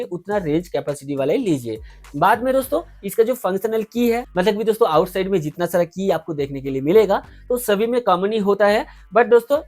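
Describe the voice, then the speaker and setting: A man's voice: high-pitched, a little mellow. A man hosting an informal product review, explaining things directly to viewers. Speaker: video host.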